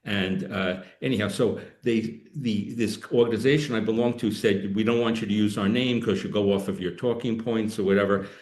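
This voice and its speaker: The sound is noticeably echoey. A mature man from the United States talks in a fairly low voice as a podcast guest, telling anecdotes casually and rambling with frequent halts. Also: steady tone, normal speed, few pauses, darkish tone. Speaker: podcast guest, political analyst. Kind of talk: casual interview talk.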